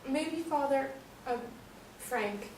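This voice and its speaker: A woman's voice: sits high, slow. A woman playing a kind, encouraging ex-stripper in a staged play reading. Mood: earnest. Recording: roomy, distant mic, hiss.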